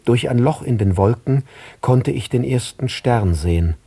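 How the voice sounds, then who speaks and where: A man narrating literary prose for a radio drama in a husky voice. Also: medium pitch, expressive, measured pace, dark tone, no echo.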